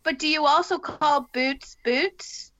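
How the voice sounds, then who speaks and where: A woman's voice: high voice, slow, fairly bright tone, no room sound, dull audio. A woman co-hosting a casual chat, playful and jokey.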